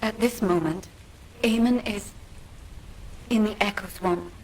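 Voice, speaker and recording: An actress playing a solemn, loyal guide with a measured manner in a video game. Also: female voice, mid-range voice, a little mellow, a little hiss or hum, close to an everyday voice, poor audio. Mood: solemn, resolute.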